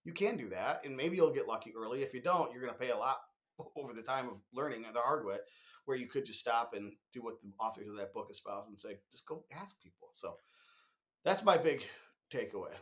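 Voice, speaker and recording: A man's voice: medium pitch, lively intonation, brisk, a bit bright. A man co-hosting a conversational business podcast, explaining emphatically and acting out imagined quotes as he goes. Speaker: podcast co-host discussing marketing.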